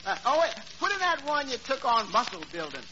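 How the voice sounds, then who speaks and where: An actor playing a blunt, needling sidekick who heckles in short retorts, in 1940s radio comedy style. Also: male voice, extremely high pitch, big pitch swings, brisk. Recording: muffled, very noisy old radio broadcast.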